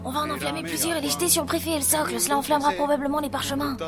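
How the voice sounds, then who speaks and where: Actress as a very high-pitched defiant heroine. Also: female voice, flat delivery, very fast. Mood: urgent. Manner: urgent, forceful. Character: bold, defiant sister fighting a cult. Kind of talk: French fantasy audio drama acting. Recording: music and crowd under the voice.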